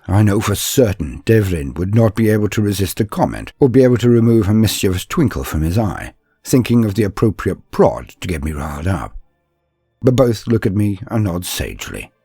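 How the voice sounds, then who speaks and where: A man playing an earnest, deferential young male apprentice, telling his story in a measured, confiding, introspective way. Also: low-pitched, mellow, slightly rough, no room sound, studio quality, exaggerated voice. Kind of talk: first-person dramatic storytelling.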